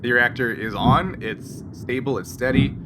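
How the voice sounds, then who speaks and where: Male game master, mid pitch, improvised halting narration, heavy background noise and rumble. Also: steady tone. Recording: noisy, loud background hum.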